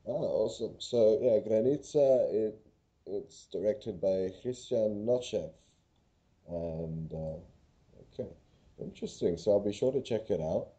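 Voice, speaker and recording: Low, dark-voiced man hosting a podcast. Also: unhurried, breathy edge. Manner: easygoing, laughs often, many fillers. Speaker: podcast host. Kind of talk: casual podcast chat. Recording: dull lo-fi sound.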